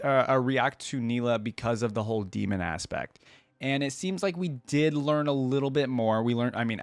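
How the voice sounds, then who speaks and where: A man's voice: medium pitch, no echo. A man hosting a casual reaction video, thinking aloud in an informal, rambling way. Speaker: YouTube reaction host.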